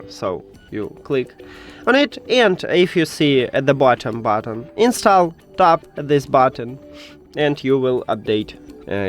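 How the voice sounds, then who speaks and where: Man, non-native English speaker, mid-pitched voice, step-by-step tutorial narration, halting delivery, music in the background. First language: not English. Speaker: tech tutorial host.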